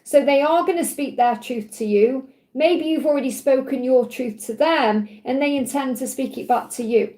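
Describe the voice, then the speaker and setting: A woman giving a live tarot card reading to viewers, her voice husky and tiring, speaking in a soothing, reassuring way that keeps checking in with "okay?". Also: sits high, normal speed, few pauses, dark tone.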